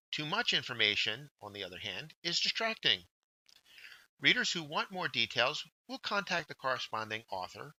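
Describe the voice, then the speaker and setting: A man presenting a scripted instructional workshop, with deliberate, emphatic phrasing. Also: medium pitch, pushed and bright, dry recording, low-quality recording. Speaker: workshop presenter, journal editor.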